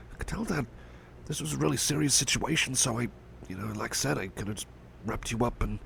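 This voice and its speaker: A man's voice: medium pitch, gravelly, a bit bright. A man playing a shy, caring cyborg friend in an ASMR roleplay, speaking hesitantly with stammers and earnest reassurance. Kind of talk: ASMR personal attention roleplay. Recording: faint background noise and ambience.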